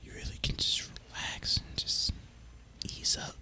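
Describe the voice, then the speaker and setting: A man speaking in a breathy, unvoiced whisper, rambling casually and in a relaxed way as he hosts an ASMR gaming video. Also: a little slow.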